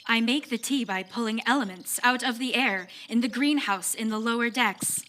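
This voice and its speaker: A woman playing a weary, put-upon machine servant with a deadpan, grudging delivery in a live sci-fi audio comedy. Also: a little high, normal speed, close to an everyday voice. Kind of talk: live staged science-fiction audio comedy. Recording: faint noise, live stage recording.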